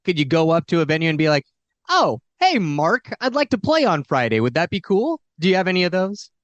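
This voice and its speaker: A woman's voice: on the low side, big pitch swings, brisk, no room sound, lo-fi sound. An American woman co-hosting a casual interview, chatty and enthusiastic. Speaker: interviewer, co-host.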